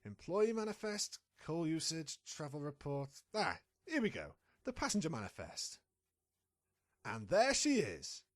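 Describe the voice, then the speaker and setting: A woman's voice: low-pitched, very animated, measured pace, heavily acted. A woman playing a sly, scheming pony reporter in an audio drama, confident and impatient with a partner. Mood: searching. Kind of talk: fan audio drama acting.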